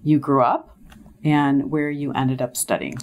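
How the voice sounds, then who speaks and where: Female business interview host, clearly low voice, conversational delivery. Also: steady tone, a little slow, a little mellow.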